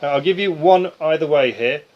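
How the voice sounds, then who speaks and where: A man's voice: fairly high pitch, a little fast, dull audio. A man reading out quiz questions and ruling on answers in a matter-of-fact way. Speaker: quizmaster. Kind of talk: reading pub-style quiz questions aloud, adjudicating. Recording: slightly noisy quiz room recording.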